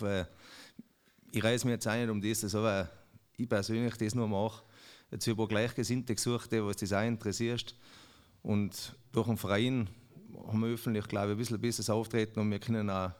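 Low-voiced Pinzgau dialect man. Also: smooth. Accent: Pinzgau dialect, Salzburg. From Austria. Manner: rambling dialect speech, halting restarts. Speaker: dialect poet, guest. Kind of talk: radio interview.

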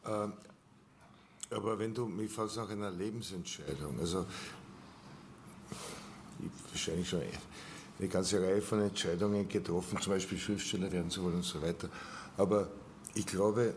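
An older man, a grandfather and writer, talking at an author evening with a very low voice. He speaks in a measured, thoughtful way and chuckles now and then. Faint hiss and room ambience sit behind him. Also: fairly even intonation. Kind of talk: panel discussion at a reading.

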